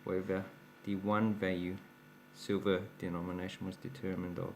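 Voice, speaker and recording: Chatty low-voiced man. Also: level tone, moderate pace, gravelly, a little mellow, slight background noise. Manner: chatty, explains while handling coins, laughs at his own slips. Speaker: coin collecting channel host. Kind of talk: casual show-and-tell vlog.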